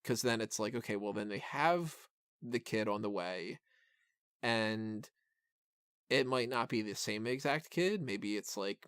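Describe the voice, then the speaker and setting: A man's voice: lowish voice, smooth, no echo, clean studio sound. A man hosting a film review podcast, talking casually and giving opinions in a rambling, self-interrupting way full of "like".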